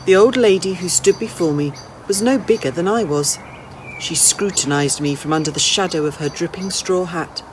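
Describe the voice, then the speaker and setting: A woman reading a children's novel aloud as an expressive narrator. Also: on the low side, normal speed, a little hiss or hum. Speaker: storybook reader, school staff.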